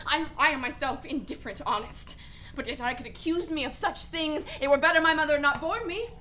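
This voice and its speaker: A woman's voice: high voice, brisk, pushed and bright, a little hiss or hum. A woman acting a bitter, mocking, volatile young prince in a Shakespearean radio play. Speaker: actor playing Hamlet.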